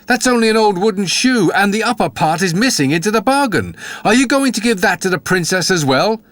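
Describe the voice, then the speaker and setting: A man's voice: high voice, lively intonation, a little fast, a bit bright, no room sound, voice pushed up. A man voicing proud, scornful brothers, mocking and haughty, in a storybook reading. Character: two proud scornful young men, learned and mocking.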